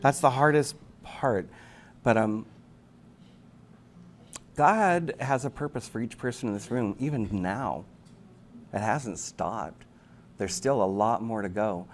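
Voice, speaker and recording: A man telling anecdotes as an interview guest, chatty, animated and self-deprecating. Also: on the low side, slow, long pauses. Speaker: interview guest, actor and writer. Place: busy convention event room. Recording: clearly noisy live event room recording.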